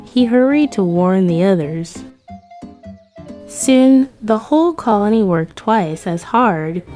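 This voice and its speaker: A woman's voice: mid-range voice, a little mellow. A woman narrating a scripted fairy tale for children as a storyteller.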